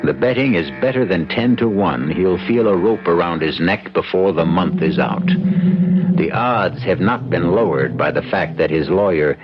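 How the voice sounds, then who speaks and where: A man narrates an old-time radio mystery in a deep voice that swings widely in pitch. His delivery is theatrical and deliberate. Music plays clearly behind the muffled recording. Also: expressive. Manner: theatrical, rhetorical, deliberate phrasing.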